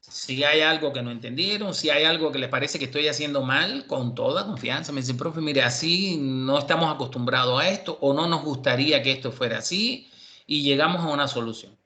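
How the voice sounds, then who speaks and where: A man from Cuba teaching an online university class, relaxed and chatty with many fillers. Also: on the high side, brisk. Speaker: university professor.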